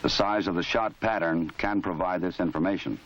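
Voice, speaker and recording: A man giving formal, measured scripted narration for an old training film. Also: low voice, a little hiss or hum. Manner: measured, formal, matter-of-fact. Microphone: voice-over. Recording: dull lo-fi 1970s film soundtrack.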